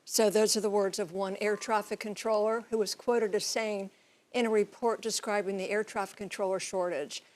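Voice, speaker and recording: A woman reporting as a TV news correspondent, explaining things in measured, clear phrases. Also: medium pitch, steady tone, darkish tone. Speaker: TV transportation correspondent and pilot.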